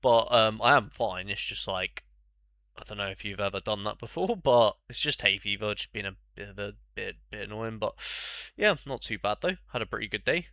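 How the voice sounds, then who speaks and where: A young man, talking casually on a live stream, his voice stuffed-up and congested. His tone is bright and clear, and the sound is close and dry with no room around it. He rambles, answering viewers as he goes. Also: fairly low pitch, normal speed, no echo, muffled recording. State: congested, bunged up.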